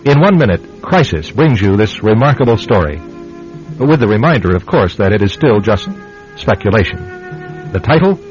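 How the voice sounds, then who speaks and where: A man narrating a radio drama from a script in a grave, measured, deliberate manner. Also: fairly low pitch, very animated. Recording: muffled vintage radio, music behind.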